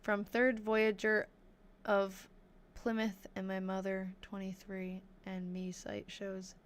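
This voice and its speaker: A young woman with a New England accent, chatting casually on a live stream as she reads an old diary aloud slowly and thinks out loud. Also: medium pitch, little pitch movement, a little hiss or hum. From New Hampshire. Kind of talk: casual livestream chat and slow diary reading.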